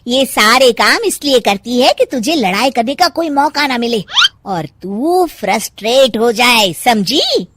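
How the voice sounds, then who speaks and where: A woman voicing a gossipy, teasing middle-aged society friend in a moral story, with an animated, knowing, needling delivery. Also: fairly high pitch, a little slow, heavy background noise. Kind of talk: moral-story character acting.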